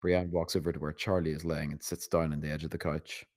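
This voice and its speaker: A man's voice: rumbling low, little pitch movement, fast, darkish tone, no echo, clean studio sound. A man reading stage directions as scripted narration at a screenplay table read.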